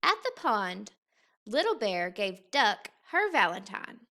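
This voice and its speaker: A woman reading a children's story aloud in an expressive, unhurried, deliberate way. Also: mid-range voice. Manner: unhurried, expressive, deliberate phrasing for young listeners. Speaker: teacher reading a picture book aloud.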